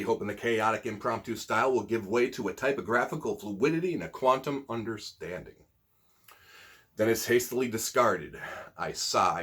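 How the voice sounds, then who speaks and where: Male amateur spoken-word poet, fairly low husky voice, informal video-host delivery and recitation. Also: a bit bright. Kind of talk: casual intro and dramatic spoken-word poetry reading.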